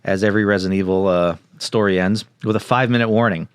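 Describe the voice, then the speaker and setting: A man's voice: sits low, fairly even intonation, fast talker, smooth, dry recording. A man co-hosting a podcast, reading out a plot summary and dropping in joking asides. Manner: jokey, interjects and riffs. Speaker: podcast co-host.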